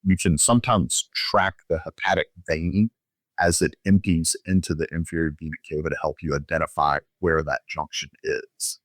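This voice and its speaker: A man with a low-pitched voice gives expert medical explanation in an interview. His delivery is measured and instructional, laid out step by step. The sound is dry, with very little room in it. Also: steady tone, no room sound. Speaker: physician guest expert.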